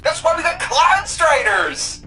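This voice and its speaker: An actor playing a cocky, upbeat young futuristic city guardian in a video game, breezy, slangy and offhand. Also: male voice, very high voice, sing-song, unhurried, heavy background noise, full character voice. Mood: upbeat, confident.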